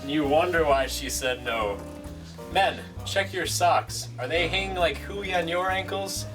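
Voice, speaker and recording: A man's voice: on the high side, measured pace, close to an everyday voice. A man playing a punchy 1920s advertisement announcer in an amateur mock commercial. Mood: salesy. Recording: distant mic, guitar music behind.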